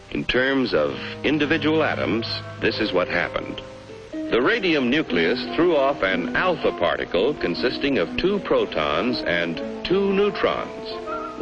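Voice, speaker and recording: A middle-aged American man narrating a scripted 1950s educational film in a measured, dramatic manner, on an old film soundtrack. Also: on the low side, muffled recording. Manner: measured, dramatic emphasis with rhetorical questions. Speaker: documentary narrator. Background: orchestral film score.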